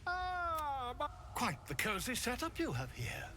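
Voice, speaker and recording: A man's voice: extremely high pitch, wildly swooping pitch, a little slow, noisy background, full character voice. A man playing a chatty, self-assured, flamboyant scholar in a fantasy game, theatrical and fussy in manner. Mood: breezy, pleased.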